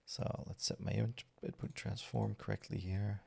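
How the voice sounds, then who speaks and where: A man, his voice deep and gravelly with a lot of fry. He chats loosely with viewers during a livestream, rambling through ums and false starts. The tone stays easygoing and unhurried. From Belgium. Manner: relaxed and rambling, with many fillers and restarts. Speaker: streamer answering chat. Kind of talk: casual livestream chat while working.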